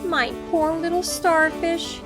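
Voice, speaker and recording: A high, theatrical supervillainess in an audio drama. She is imperious and contemptuous, drawing out her taunts with relish. Music runs behind her. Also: steady tone. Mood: scornful, taunting. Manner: grandiose, mocking, drawn-out taunts. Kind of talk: audio drama villain acting.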